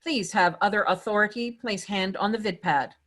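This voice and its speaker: A woman's voice: medium pitch, normal speed, a bit bright, close to an everyday voice. Woman as formal computer security system. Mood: impersonal, procedural. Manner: formal, procedural. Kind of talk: screenplay table read.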